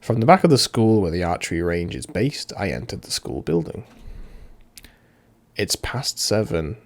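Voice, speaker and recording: A man's voice: low voice, sing-song, normal speed. A man reading a visual novel aloud as a let's player, with informal, cheeky, sweary asides. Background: game music.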